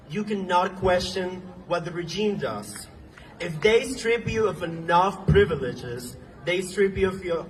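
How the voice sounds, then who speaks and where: A young man from Venezuela gives an earnest rally speech outdoors, sometimes halting with ums and restarts. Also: fairly high pitch, a little hiss or hum. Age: young adult. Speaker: speaker at a protest march. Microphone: phone recording from the crowd. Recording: distant phone recording outdoors.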